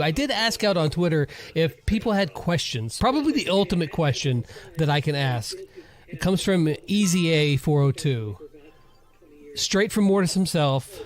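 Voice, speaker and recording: A man hosting a casual interview, asking questions in an informal, enthusiastic way. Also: on the high side. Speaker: interviewer, host.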